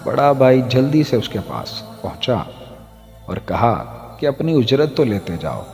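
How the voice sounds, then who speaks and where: A man narrates a moral tale at a slow, unhurried pace. His mid-pitched voice is formal and measured, like a scripted reading. It is soaked in long hall reverb. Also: sing-song, vocal fry, a little mellow. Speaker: storyteller. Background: background music.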